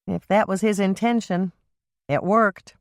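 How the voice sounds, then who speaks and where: A woman's voice: medium pitch, fairly even intonation, unhurried, no echo, clean studio sound, voice pushed up, natural voice. A woman reading an audiobook and voicing a confiding woman who talks hesitantly and earnestly.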